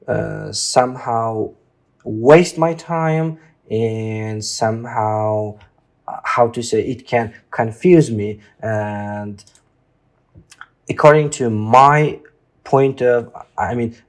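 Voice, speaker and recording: A man tutoring informally through an exam exercise, speaking haltingly and often checking in with a questioning tag. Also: mid-range voice. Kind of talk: informal lesson, walking through a reading test.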